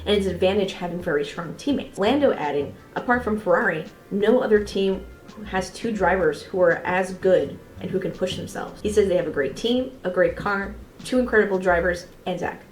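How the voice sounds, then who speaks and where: A woman's voice: mid-range voice, a little fast. Woman reviewing races in a roomy echo. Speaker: motorsport video creator and host. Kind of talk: semi-scripted race review commentary. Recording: roomy echo with faint music behind.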